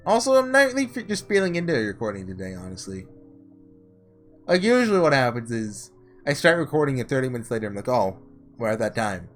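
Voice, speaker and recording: A man's voice: mid-range voice, big pitch swings, a little fast, faint music behind. A man hosting a casual gaming playthrough, thinking aloud informally and reading visual novel text. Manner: informal, musing out loud. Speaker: gaming video host.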